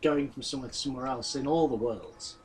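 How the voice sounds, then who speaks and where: A man's voice: mid-range voice, fast, recorded from a distance, a crowd in the background. A man chatting casually as a commentator in a video game let's play. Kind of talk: casual gaming banter. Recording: game music behind voice. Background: video game music and cutscene audio.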